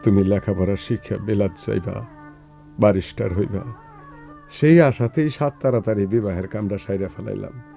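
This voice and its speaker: An actor playing an earnest, philosophical village folk musician in a radio drama, speaking reflectively in a rural Bangladeshi accent. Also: male voice, on the low side, moderate pace, gravelly, warm tone, lo-fi sound, faint music behind.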